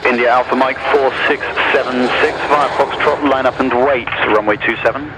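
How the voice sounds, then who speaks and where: A man's voice: medium pitch, fairly even intonation, moderate pace. A man giving clipped air traffic instructions over aviation radio.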